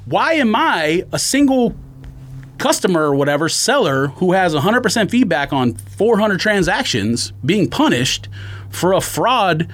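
Animated, higher-pitched man venting on a podcast. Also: a little slow. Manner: exasperated, slangy, acts out quoted exchanges. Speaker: sneaker podcast co-host. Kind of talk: casual podcast rant, telling a story.

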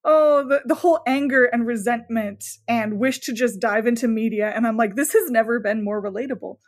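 A woman's voice: a little high, fairly quick. A woman chatting casually as a podcast guest, effusive and enthusiastic. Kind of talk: casual chat.

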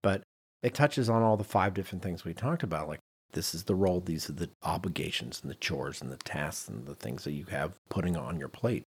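Man, podcast host, lower-pitched, husky, conversational, emphatic delivery. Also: brisk. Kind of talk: conversational podcast discussion with read-out notes.

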